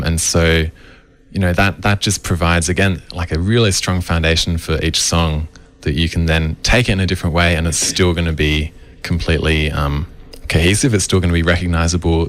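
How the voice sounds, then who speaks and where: A man's voice: sits low, steady tone, normal speed. A man, an arranger explaining his approach to songs in an earnest, thoughtful interview. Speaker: musical arranger.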